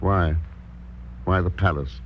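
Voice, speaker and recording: Low-voiced, tough radio investigator. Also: male voice, steady tone, slow. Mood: brisk, determined. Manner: clipped, dry, unflappable. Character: tough, laconic American investigator, cool and determined. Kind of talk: 1940s radio drama acting. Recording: dull, noisy old radio recording.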